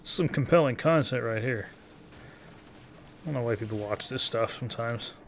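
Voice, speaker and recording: A man in his early thirties giving casual, rambling gameplay commentary in an informal, halting, profane, self-deprecating way. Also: mid-range voice, slight background noise. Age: early 30s. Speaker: gaming video creator.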